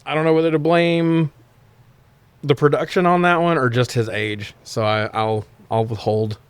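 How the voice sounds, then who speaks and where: A man's voice: medium pitch, level tone, normal speed, clean tone, no room sound. A man co-hosting a podcast, sharing movie trivia in a jokey, conversational, sometimes sweary way. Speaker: podcast co-host. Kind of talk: casual film-trivia chat, partly reading facts.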